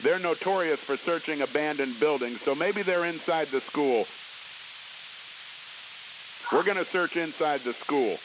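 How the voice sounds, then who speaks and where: A man's voice: fairly high pitch, measured pace, pauses often. A man playing a police officer reporting a search over the radio in an amateur audio drama. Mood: businesslike. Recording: tinny narrow radio sound, faint hiss.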